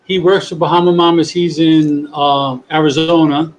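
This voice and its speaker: New guy hosting a chat show, rambly and easygoing, slightly high voice, faint hiss on the line. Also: normal speed. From New York. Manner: rambling, relaxed, many restarts. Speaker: podcast host. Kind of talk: casual chat. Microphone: video call.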